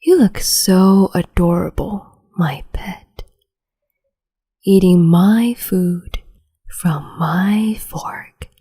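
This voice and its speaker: A cruel, capricious young empress, played by a woman coos and whispers in a soft, breathy voice, taking her time over every word. Her tone is low and mellow, teasing and condescending as she dotes on her pet. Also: exaggerated voice. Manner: slow, teasing, condescending, doting. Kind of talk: ASMR roleplay audio.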